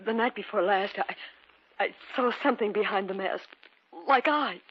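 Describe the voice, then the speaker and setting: An actress playing a frightened, jumpy young wife in an old radio drama, speaking with a trembling fearfulness. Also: female voice, medium pitch, normal speed, breathy edge, close to an everyday voice. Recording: dull old radio recording with faint hiss.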